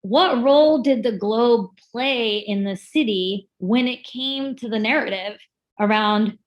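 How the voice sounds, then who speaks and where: A woman moderating a panel, asking questions conversationally and in a measured way, repeating phrases for emphasis. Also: a little high. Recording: dull, lo-fi audio.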